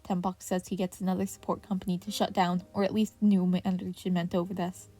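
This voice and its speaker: A woman's voice: lowish voice, little pitch movement, brisk, mellow, soft background music, lightly acted. Woman voicing a dry, grumbling male teacher. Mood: grudging. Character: weary, grumbling male hero teacher, former pupil. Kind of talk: audiobook-style fan fiction character reading.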